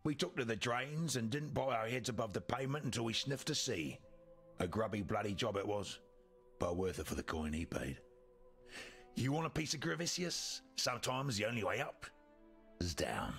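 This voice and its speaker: A man playing a sly, wry smuggler in a video game, a gravelly voice and sarcastic, colloquial speech. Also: medium pitch, big pitch swings, normal speed, fairly bright tone, soft background music. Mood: roguish, matter-of-fact. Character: roguish, sly male smuggler and fixer, mercenary but wry. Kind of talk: video game character dialogue.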